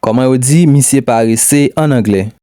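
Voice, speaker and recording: Male teacher, mid pitch, mellow tone, steady prompt reading, dry close sound. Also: normal speed, dry recording. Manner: steady, repeats one question pattern. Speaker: language teacher.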